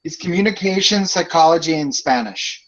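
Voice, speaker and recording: A man's voice: on the high side, lively intonation, normal speed. Chatty young man on a muffled video call. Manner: chatty, long rambling explanations with many fillers. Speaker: student in a group project. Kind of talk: casual chat on a video call.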